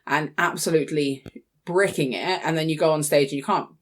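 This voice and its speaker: A woman's voice: sits low, brisk. A young British woman co-hosting a podcast, casually chatting and telling personal anecdotes. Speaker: podcast co-host.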